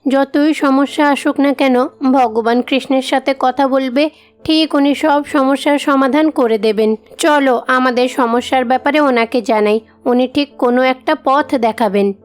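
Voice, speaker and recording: A woman's voice: sits high, a little slow. A woman voicing a devoted, generous village headman's wife with an earnest, reassuring manner in a cartoon story. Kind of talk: cartoon story dialogue acting. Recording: music behind the voice.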